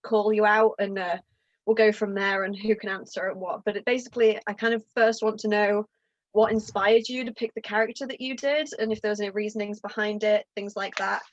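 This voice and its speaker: A woman's voice: medium pitch, fairly even intonation, fairly quick, highs cut off. A woman co-hosting who asks questions in a chatty, rambling way. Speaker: co-host. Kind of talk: casual interviewing.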